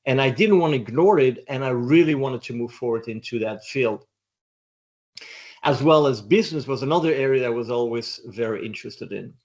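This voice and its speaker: A man's voice: medium pitch, a little fast, darkish tone, highs cut off. A middle-aged man from Belgium, a guest in an interview, speaking in a reflective, thoughtful way. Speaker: interview guest, doctoral student. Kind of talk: casual interview chat.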